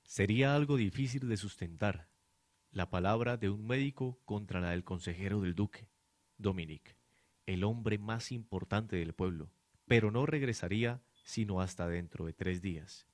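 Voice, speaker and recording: A man's voice: fairly low pitch, normal speed, no room sound. A man reading scripted narration for a radio drama in a dramatic storytelling manner.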